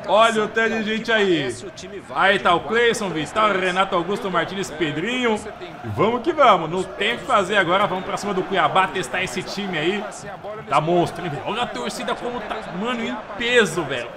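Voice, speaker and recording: A man's voice: high voice, brisk. A man with a Brazilian accent giving slangy, casual commentary on a football game he is playing. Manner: slangy, chatty, keeps saying "mano" and "velho"; gets loud and excited over the crowd. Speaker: gameplay commentator. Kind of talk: casual let's-play commentary.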